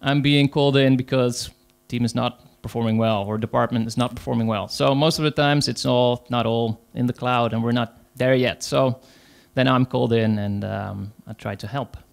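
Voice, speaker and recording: A man's voice: mid-range voice, fairly even intonation, moderate pace, gravelly, few pauses. A man with a Dutch accent, speaking English as a second language, gives a conference talk as an agile coach, hesitant, with many fillers. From Netherlands.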